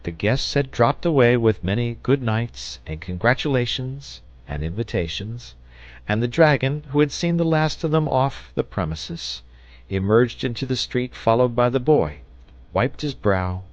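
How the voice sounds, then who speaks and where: A man reading a children's story aloud as an audiobook narrator, in an expressive storybook style. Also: medium pitch, measured pace, no room sound. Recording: dull, lo-fi audio.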